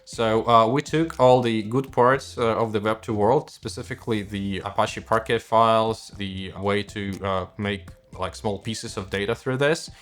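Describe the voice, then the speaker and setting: A man from Russia with a Russian accent, a native Russian speaker, explaining technology as a startup co-founder in a halting, hesitant way. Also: on the low side, fairly even intonation, fairly bright tone. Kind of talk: explanatory interview talk about technology.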